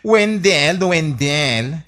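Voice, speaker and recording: A man's voice: on the high side, expressive, very slow, a bit bright, heavily acted. A man playing a reluctant, secretly effeminate young son cowed by his father in an Ilocano radio comedy drama, with an Ilocano accent. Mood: confiding.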